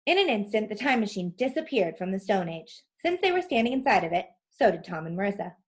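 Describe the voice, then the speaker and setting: A woman narrating a children's storybook aloud in an expressive style. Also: mid-range voice, quick pace.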